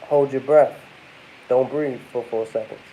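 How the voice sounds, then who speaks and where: A man's voice: medium pitch, steady tone, unhurried, warm tone, slight background noise, low-quality recording. A congested young man vlogging casually, rambling and talking to his viewers as y'all. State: congested, under the weather. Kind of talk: casual talk to camera.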